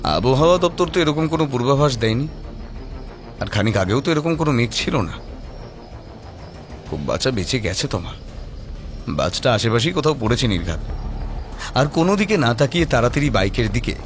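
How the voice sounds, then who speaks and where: A man's voice: medium pitch, big pitch swings, normal speed, pauses often, faint music behind. A man narrating a scripted story in a dramatic storytelling style.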